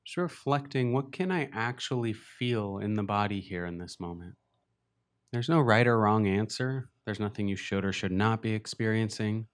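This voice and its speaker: Meditation teacher, male, low smooth voice, gentle and unhurried guidance. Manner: slow, gentle, unhurried guidance with long pauses. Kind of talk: guided meditation.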